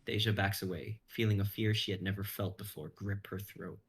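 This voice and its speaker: Young adult man, low register, suspenseful dramatic narrator for an action fantasy. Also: fairly even intonation, brisk, slight background noise. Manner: intense, suspenseful storytelling. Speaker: stream host and narrator.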